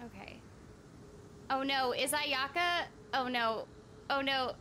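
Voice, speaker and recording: A young woman chatting casually as she livestreams a game, excitable and giggly, often repeating her phrases. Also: sits high, takes it slowly, a little hiss or hum. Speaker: game streamer. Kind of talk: casual livestream gameplay chat. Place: indoor room at home. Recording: loud game music behind. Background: game music.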